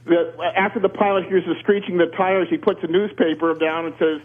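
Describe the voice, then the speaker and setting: A high-voiced man with a rough, husky edge, calling a radio show over a slightly dull line. He explains technical aviation matters plainly, sprinkling in jokes and anecdotes. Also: steady tone, fairly quick. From Canada. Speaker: radio show caller, former air traffic controller. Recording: muffled band-limited radio phone-in call.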